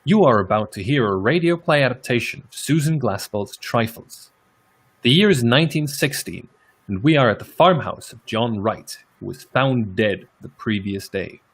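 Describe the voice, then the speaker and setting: Male announcer, medium pitch, scripted radio drama introduction. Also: lively intonation, moderate pace. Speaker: announcer, narrator. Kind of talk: scripted narration.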